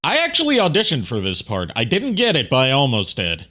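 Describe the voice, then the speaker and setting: A man's voice: medium pitch, wildly swooping pitch, a little fast, pushed and bright, faint music behind. A man giving casual, chatty commentary as a streamer, often explaining things in asides. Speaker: streamer and voice actor commenting on a fan dub he was in.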